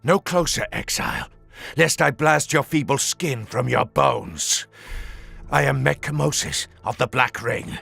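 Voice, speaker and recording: Gravelly, menacing sorcerer. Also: male voice, medium pitch, very animated, measured pace, pushed and bright, faint music behind, full character voice. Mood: haughty, threatening. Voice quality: gravelly, raspy. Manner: pompous, grandiose, commanding. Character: menacing, haughty exiled male sorcerer, vengeful and scheming. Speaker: dark sorcerer character in a video game. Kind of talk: theatrical video game character dialogue.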